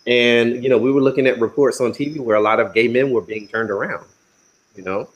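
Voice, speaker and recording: A man's voice: medium pitch, fairly even intonation, a little fast, rough recording. A man chatting casually in a group conversation, joking and using many fillers. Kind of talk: casual roundtable chat.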